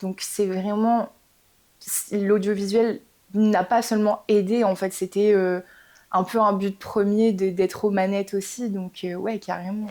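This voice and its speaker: Articulate young woman with a mellow voice. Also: medium pitch, flat delivery, a little slow. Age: young adult. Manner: thoughtful, articulate, with frequent fillers. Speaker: singer in a band. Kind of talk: casual interview answers.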